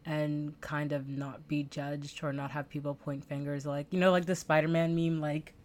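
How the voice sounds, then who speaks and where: A young woman with a low voice and a light Bahamian accent, talking with a friend on a podcast. Her words come out precise and tidy, but she keeps the tone loose and conversational, with plenty of casual fillers. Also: level tone, moderate pace, a little mellow. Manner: precise, careful diction with chatty fillers. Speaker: podcast co-host. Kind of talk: casual chat.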